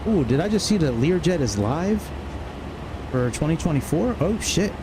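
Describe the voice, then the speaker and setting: A man's voice: a little high, very animated, normal speed. A man streaming a flight simulator, talking casually and half to himself, with some swearing. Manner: muttering to himself, profane. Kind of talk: casual livestream commentary while flying a simulator. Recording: clear background noise, vehicle-like hum.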